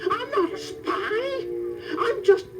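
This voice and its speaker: A man's voice: extremely high pitch, slow, music in the background. An actor playing a scrawny, cowardly wandering singer, a whiny pleading man in a storybook recording. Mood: frightened pleading.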